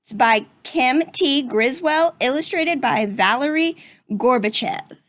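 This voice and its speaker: A woman with a high, very lively voice narrates a children's storybook. She speaks slowly and expressively, as if to young listeners. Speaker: storybook reader. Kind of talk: children's read-aloud narration.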